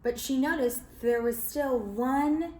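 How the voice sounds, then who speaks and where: A woman reading a children's picture book aloud, expressive and animated, calling listeners friends. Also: sits high, takes it slowly, a little hiss or hum. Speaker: children's storyteller. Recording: roomy echo.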